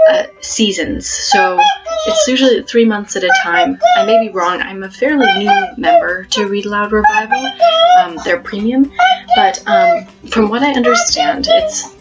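A woman in her thirties talks casually to camera, explaining things in a hesitant way with thoughtful pauses. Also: on the high side, poor audio. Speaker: homeschooling parent and video host. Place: small plain room at home, indoors.

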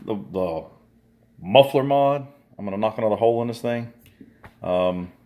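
A man's voice: low-pitched, a little mellow. A man in casual chat, rambling and folksy as he unboxes and looks over a chainsaw for his viewers, filming with a handheld phone at his workbench. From southern United States. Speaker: vlogger reviewing a chainsaw. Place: workshop or garage indoors, workbench. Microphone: handheld phone camera.

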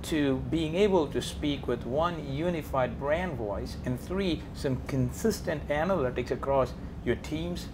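A man's voice: fairly high pitch, measured pace, noisy background. A man speaking on a business panel, laying out his points one by one like a presenter. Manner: listing points methodically, presenter-like. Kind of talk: panel discussion.

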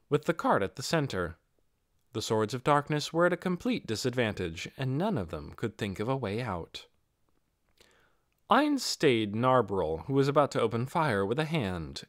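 Lively male audiobook narrator. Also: medium pitch, no room sound, clean studio sound. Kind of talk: scripted fantasy novel narration.

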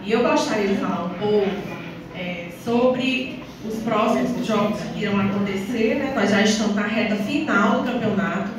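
Woman, northeastern Brazilian accent, town councillor at the podium; distant from the mic, loud background noise, semi-formal speech. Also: medium pitch, moderate pace. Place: small council chamber, indoors. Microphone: phone or camera several metres away. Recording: distant, very echoey, noisy room recording.

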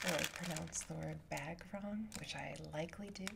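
A woman in her thirties from Montana with a light Upper Midwest accent, talking softly and partly whispering in a chatty, self-deprecating way for ASMR. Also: low voice, moderate pace, creaky, heavy background noise. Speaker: ASMR creator. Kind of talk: soft-spoken ASMR rambling. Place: indoor room before a brick wall. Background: loud plastic bag crinkling.

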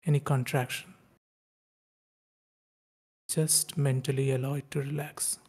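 A man leading a guided meditation in slow, calm, short phrases with long pauses between them. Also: mid-range voice, husky.

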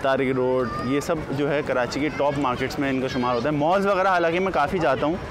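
A man's voice: mid-range voice, fast. A man from Pakistan, casually chatting to the camera as he walks through a busy open bazaar and questions vendors. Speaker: vlogger. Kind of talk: casual walking vlog commentary. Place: busy outdoor street bazaar. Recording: loud street and crowd noise.

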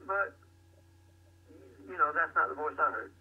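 Husky man on a tinny call line. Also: fairly high pitch, lively intonation, unhurried. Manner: opinionated, repeats words while thinking. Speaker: podcast co-host. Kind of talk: casual album review chat. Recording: tinny phone-like call audio.

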